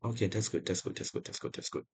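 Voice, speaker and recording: A young man casually commenting on his own gameplay, excitable and talking to viewers, often repeating himself. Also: fast. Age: young adult. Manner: excitable, talks to viewers while playing, repeats phrases. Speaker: gaming channel host. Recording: loud background noise.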